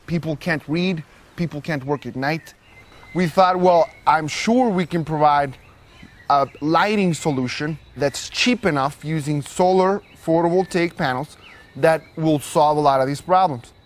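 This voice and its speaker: A young man with a slightly raised pitch speaks in a documentary interview. He explains things in an earnest, measured way. He co-founded a solar startup and is from Guatemala City. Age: young adult.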